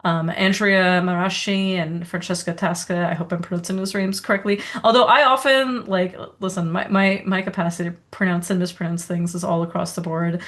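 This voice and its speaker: A woman's voice: lowish voice, fairly even intonation, a little fast, few pauses, a bit bright. A woman of origin co-hosting a podcast, talking in a rambling, casual way full of asides and little laughs. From Serbia. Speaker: podcast co-host. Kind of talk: casual conversational chat.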